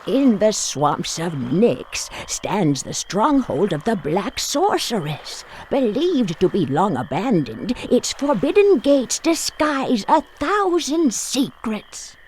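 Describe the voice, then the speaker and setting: A woman playing a solemn, mystical narrator in a fantasy video game, telling of the realm in a grand, measured storyteller delivery. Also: medium pitch, very animated, darkish tone, a little hiss or hum, poor audio. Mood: ominous, grave. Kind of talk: dramatic scripted fantasy narration.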